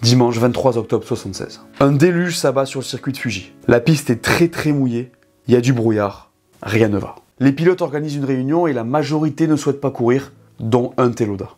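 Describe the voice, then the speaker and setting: A man's voice: mid-range voice, brisk, darkish tone. Guy narrating like a youtuber, casual slangy storytelling, emphatic, music behind him. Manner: colloquial, direct address to listeners, emphatic. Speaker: YouTube host telling motorsport stories. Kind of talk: conversational scripted narration. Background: background music.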